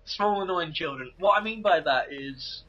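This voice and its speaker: Bright-voiced English man. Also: fairly high pitch, expressive, normal speed, dull audio, a little hiss or hum. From England. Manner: ranting, joking, tells anecdotes. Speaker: co-host. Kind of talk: casual gaming commentary chat.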